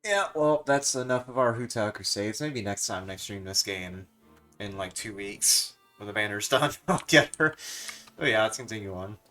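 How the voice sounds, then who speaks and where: A man's voice: on the low side, animated, moderate pace, sharp tone, faint music behind. A young man giving casual livestream commentary as he plays a game. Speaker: game streamer.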